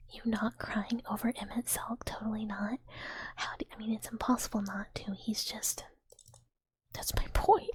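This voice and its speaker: A woman's voice: medium pitch, little pitch movement, moderate pace. A young woman streamer whispering a giggly, rambling casual chat with her viewers. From United States. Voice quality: soft breathy whisper. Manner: giggly, rambling, frequent "like" fillers.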